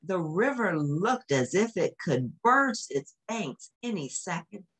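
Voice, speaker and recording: Low-pitched, expressive woman reading to children. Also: slow pace, breathy edge. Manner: simple explanatory phrasing for children. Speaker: children's story reader. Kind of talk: picture-book read-aloud with comments to young children.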